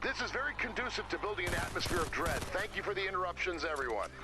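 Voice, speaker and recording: A man's voice: sits high, lively intonation, fast talker, over music. A man playing a hapless, theatrical amateur horror storyteller in a video game, mock-spooky and faltering, easily flustered. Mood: exasperated. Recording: loud gunfire and game noise.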